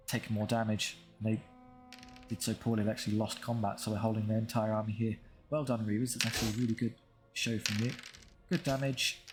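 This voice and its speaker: Male gaming commentator, lowish voice, understated and dry, thinking aloud casually over faint music. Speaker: gaming video creator commentating his own playthrough.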